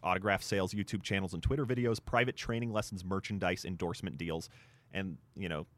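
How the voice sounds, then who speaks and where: A man co-hosting a sports talk show, explaining things point by point in a discussion. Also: low-pitched. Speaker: sports radio show co-host.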